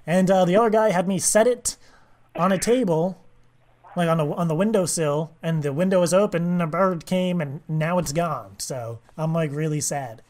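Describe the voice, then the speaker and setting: A man making a prank call, speaking in a deadpan, mock-earnest way with halting, rambling delivery. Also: a little high. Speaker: prank caller, host of a prank call show.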